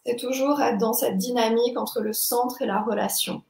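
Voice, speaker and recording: A young woman explaining her practice as a guest teacher, thoughtful and halting as she searches for words. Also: on the high side, steady tone, creaky, darkish tone. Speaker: body-movement teacher, interview guest. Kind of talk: explanatory interview talk. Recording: echoey, roomy sound.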